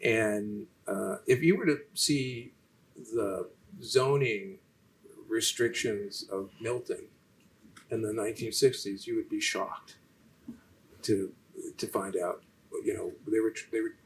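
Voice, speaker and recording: A soft-spoken man, lecturing and answering questions in a halting way, with many restarts. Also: fairly low pitch, a little hiss or hum, poor audio. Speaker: featured lecturer in a Q&A. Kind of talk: unscripted lecture conversation.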